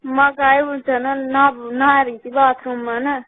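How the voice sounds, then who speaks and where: A woman's voice: sits high, flat delivery, measured pace. A woman with a Rajasthani accent chatting casually on a phone call, complaining and repeating herself. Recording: tinny phone line call recording.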